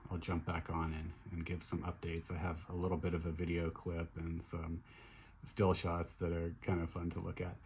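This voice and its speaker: A middle-aged man with a hoarse voice, chatting casually in a video diary, upbeat and relaxed with many fillers and restarts. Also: sits low, slight background noise. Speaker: vlogger recovering from surgery.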